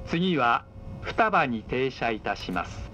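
Male announcer for onboard train messages: unhurried, lively intonation, polite and formal, dull recording. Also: mid-range voice. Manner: polite, measured, scripted. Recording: dull sound with faint background noise.

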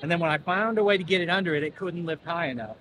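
A man's voice: on the high side, fast talker, background noise. A man in his thirties from Southeast Kansas, hosting a casual walkaround chat about equipment in a large indoor expo hall. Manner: easygoing, plainspoken, enthusiastic. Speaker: farm equipment channel host. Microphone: handheld camera close up.

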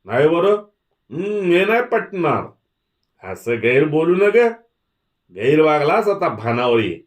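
Man doing angry, high-pitched village mob voices. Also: big pitch swings, takes it slowly. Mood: outraged. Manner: shouting, indignant rustic speech. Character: angry village mob shouting threats and demands. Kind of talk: dramatic audiobook character voice.

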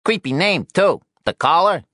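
A scruffy, pushy little newspaperman from an audiobook thriller, played by a male narrator. His voice jumps well above the narrator's low register and swings about. He needles and wheedles, recorded very dry. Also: lively intonation, moderate pace, pushed and bright, no room sound, exaggerated voice. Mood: needling. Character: pushy, short, scruffy male New York journalist.

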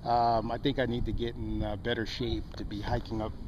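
A man's voice: medium pitch, little pitch movement, a little fast. A man having a casual amateur radio conversation outdoors. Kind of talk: casual ham radio chat. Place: outdoors on an open hilltop park. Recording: noisy outdoor recording, muffled, loud background noise.

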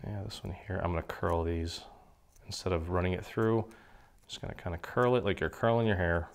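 A man with a slightly hoarse voice from a cold, walking through a paper craft project in a relaxed, chatty way. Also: low voice, a little fast. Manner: relaxed, chatty, clears his throat now and then. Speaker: craft tutorial host. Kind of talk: casual step-by-step crafting instruction. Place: indoor craft table.

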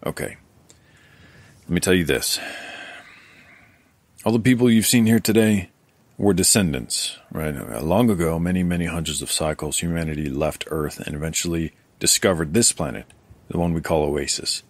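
Guy doing a wary record-keeper in an audio drama, low husky voice, asks a lot of questions, dry close sound. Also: normal speed, no room sound. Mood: guarded. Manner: questioning, guarded.

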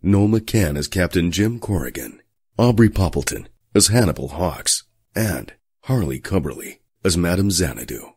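Very low, husky male announcer. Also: lively intonation, normal speed, darkish tone. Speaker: announcer reading cast credits. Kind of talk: scripted cast announcement.

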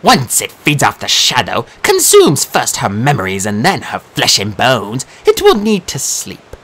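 A man voicing a trio of cold, ancient Valkyrie warrior women in an audiobook, slow and threatening. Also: mid-range voice, huge pitch swings, fairly bright tone, husky, exaggerated voice. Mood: menacing. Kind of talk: audiobook character voice.

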